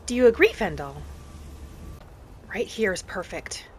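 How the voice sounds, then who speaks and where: A woman voicing a gentle, curious wood elf traveler, musing in first person as she narrates a cozy game roleplay. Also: mid-range voice, expressive, measured pace, a little hiss or hum, lightly acted. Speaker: gamer narrating a roleplay as her character. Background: game music behind the voice.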